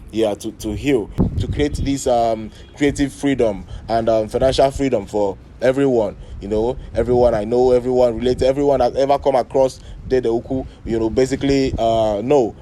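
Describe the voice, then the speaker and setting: Reflective Nigerian man, very dark voice. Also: mid-range voice, slight background noise. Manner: thoughtful, hesitant with many fillers. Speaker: artist contributor. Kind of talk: reflective interview answer.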